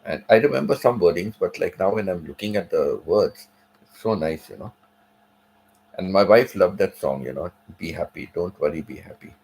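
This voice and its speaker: A man's voice: low voice, animated, normal speed, darkish tone, low-quality recording. A middle-aged man hosting a livestream, chatting casually with viewers and repeating his points as he tells a story. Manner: repetitive, conversational storytelling. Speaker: livestream host. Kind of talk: casual livestream chat reading viewer comments.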